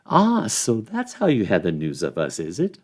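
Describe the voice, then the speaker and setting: A man's voice: on the low side, wildly swooping pitch, normal speed. A man voicing a plain-spoken, loyal male hobbit with a scolding, folksy manner in a storybook reading. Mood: grimly confident.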